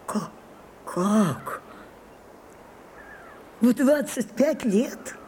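Elderly theatrical madam, unhurried, coaxing and curious, soft mellow tone, radio drama, slightly hissy old recording. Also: female voice, a little high, lively intonation. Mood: teasing, intrigued. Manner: coaxing, theatrical, drawn-out questions. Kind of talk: Russian radio play acting. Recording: muffled Soviet-era radio recording, some hiss.

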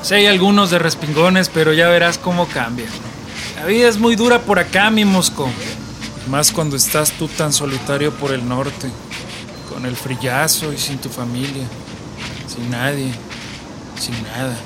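Over a noisy rumble comes a hard, wary smuggler boss played by a man in a radio drama. His voice sits fairly high and has a northern Mexican accent, with slangy, menacing orders. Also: measured pace, natural voice. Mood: reassuring, weary. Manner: slangy, bossy street talk.

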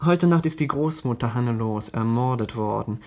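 An actor playing a flippant, mocking young boyfriend in a radio crime drama, dry and sardonic. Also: male voice, mid-range voice, moderate pace, a little mellow, reverberant, close to an everyday voice.

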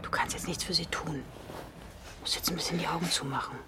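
An actress playing a weary, protective woman in a radio play, speaking in a hushed, guarded, intimate way. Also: low-pitched, fairly quick, in a whisper, natural voice. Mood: soothing. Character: weary, protective adult woman caring for a child. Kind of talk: radio play acting, intimate inner monologue.

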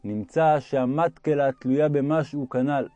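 A man's voice: mid-range voice, dark tone, no room sound, dull audio, faint music behind. A man reading a religious text aloud as an audiobook narrator.

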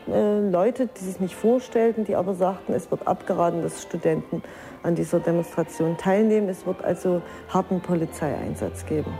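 A woman's voice: lowish voice, moderate pace, dark tone, faint music behind. A woman giving a personal eyewitness recollection for a documentary.

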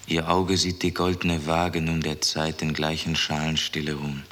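Anguished young prisoner, low but sharp voice, earnest, defiant, hall reverb, old radio play. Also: male voice, monotone, normal speed, a little hiss or hum. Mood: bitter, subdued. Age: young adult. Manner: earnest, tense, questioning. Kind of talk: 1960s German radio drama acting.

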